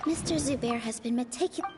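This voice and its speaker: A woman voicing an earnest young female dancer in a video game, speaking articulately and deliberately as she stands up for art. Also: sits high, over music, lightly acted. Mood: self-coaching, composed.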